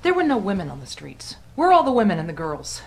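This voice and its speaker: A woman's voice: mid-range voice, huge pitch swings, fast talker, creaky. An actress playing a worried, outspoken middle-aged mother, questioning urgently in a naturalistic drama. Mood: alarmed.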